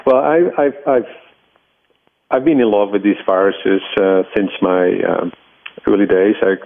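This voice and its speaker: Low, creaky middle-aged Dutch man. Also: fairly even intonation, unhurried, no echo, narrow, tinny audio. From Netherlands. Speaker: research scientist and laboratory head, interviewee. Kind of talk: explanatory interview answers.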